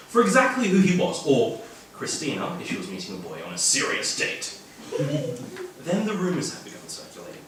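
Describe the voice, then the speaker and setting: Echoey, distant Australian man reading aloud, animated. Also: high voice. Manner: wry, jokey, chuckling asides. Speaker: author reading at a literary event. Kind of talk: live reading of humorous fiction with asides. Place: indoor event venue, filmed reading. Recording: echoey room, distant mic, faint noise.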